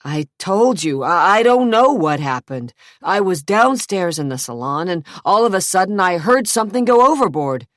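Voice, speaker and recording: A woman's voice: lowish voice, expressive, normal speed, hardly any pauses, a bit bright, no echo, clean studio sound, voice pushed up. A woman doing a frightened, lying man. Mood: pleading.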